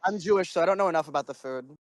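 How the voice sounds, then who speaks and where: A man's voice: on the high side, racing, dry recording, studio quality. A man chatting casually in a debate, rambling and swearing freely.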